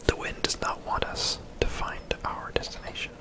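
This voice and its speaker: A person whispering casual, laid-back ASMR commentary over a video game, in a breathy, unvoiced voice. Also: normal speed. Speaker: host, gamer.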